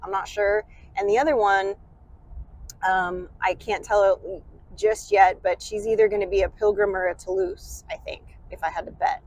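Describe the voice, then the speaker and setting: A woman's voice: medium pitch, lively intonation, normal speed, rough recording. An American woman hosting a casual vlog while driving, sick and congested with a light cough, chatty and rambling with self-deprecating humor. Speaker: channel host. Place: in a car.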